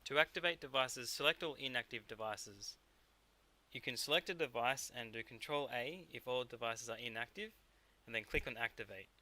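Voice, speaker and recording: Bright, crisp-edged man giving instructions. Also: mid-range voice, normal speed. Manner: instructional, step-by-step. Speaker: technical instructor. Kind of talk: scripted software tutorial narration.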